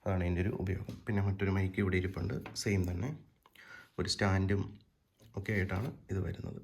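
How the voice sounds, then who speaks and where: A man with a Kerala accent giving an informal, unscripted walkthrough as a presenter, explaining part by part and often pausing mid-sentence. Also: low-pitched, little pitch movement, a little mellow, a little hiss or hum. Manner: unscripted, hesitant with frequent pauses mid-sentence. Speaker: presenter of a vintage audio gear channel.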